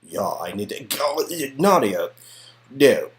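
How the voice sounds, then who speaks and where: A man's voice: mid-range voice, sing-song, slow, voice pushed up. A man playing skeptical, sardonic friends in an amateur reading of game dialogue, exclaiming and sometimes hesitant. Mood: wry, uneasy.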